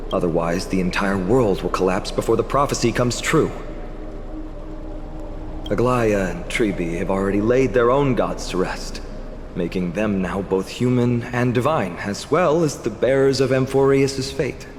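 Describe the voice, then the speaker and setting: An actor playing a calm, eloquent male hero in a fantasy game, speaking in measured, theatrical storytelling. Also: on the low side, background noise, lightly acted. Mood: solemn, assured. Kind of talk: scripted game dialogue. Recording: long hall reverb, music behind. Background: music.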